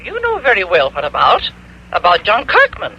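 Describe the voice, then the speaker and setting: A woman's voice: mid-range voice, huge pitch swings, moderate pace, sharp tone, slightly rough, heavily acted. An actress playing a haughty, flustered woman suspect in a radio crime drama, stammering indignantly over the telephone. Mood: nervous, insistent. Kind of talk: old-time radio crime drama acting. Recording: muffled, hissy old radio broadcast.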